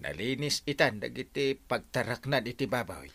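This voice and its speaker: A man playing an excitable, good-natured village captain who exclaims and stammers in an Ilocano radio comedy, with an Ilocano Filipino accent. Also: mid-range voice, normal speed, creaky, breathy edge, heavily acted. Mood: matter-of-fact. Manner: swooping exclamations, stammering openers.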